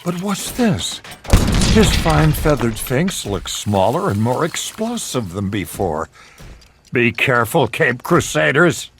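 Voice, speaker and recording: A man's voice: medium pitch, sing-song, takes it slowly, background noise. A man playing a melodramatic, campy 1960s superhero TV character in a video game, with theatrical, pompous delivery. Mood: dramatic, excited. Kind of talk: 1960s TV superhero camp parody. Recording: loud music over the voice. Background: loud music.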